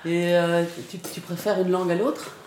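A woman's voice: lowish voice, flat delivery, moderate pace, a little mellow. A woman interviewer asking casual questions in a conversational street style, recorded with echo and slight noise. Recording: echoey, faint background noise, clicks.

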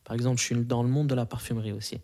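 Young man, hesitant, dark mellow voice. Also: mid-range voice, little pitch movement, very fast. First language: Arabic. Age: 20s. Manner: hesitant, many fillers and restarts. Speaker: interviewee. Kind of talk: casual interview answers.

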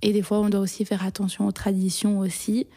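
A young woman from Belgium, answering interview questions shyly, with many pauses, fillers and restarts. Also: medium pitch, little pitch movement, warm tone. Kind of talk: hesitant personal interview answers.

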